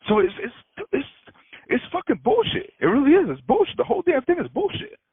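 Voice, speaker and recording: Animated cursing man on a phone line. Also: extremely high pitch, brisk, no echo. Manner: animated, swears a lot, laughs often. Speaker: freight broker. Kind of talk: heated casual phone talk. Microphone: phone call.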